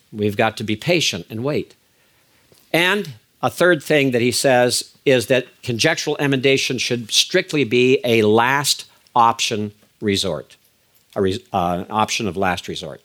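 Older male lecturer, measured, emphatic, frequent fillers and restarts, teaching tone. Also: mid-range voice, animated, a bit bright. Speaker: biblical Hebrew teacher. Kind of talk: recorded lecture.

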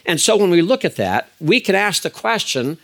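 An older man giving a lecture as a biblical Hebrew teacher, measured and emphatic, with frequent fillers and restarts. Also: high voice, big pitch swings, fairly bright tone. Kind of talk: recorded lecture.

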